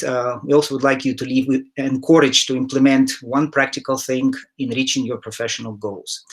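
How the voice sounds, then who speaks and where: A middle-aged man from Ukraine hosting an online session over a headset mic on a video call, speaking haltingly with many filler sounds and the odd throat clearing. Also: medium pitch. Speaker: interview host. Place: home room, indoors.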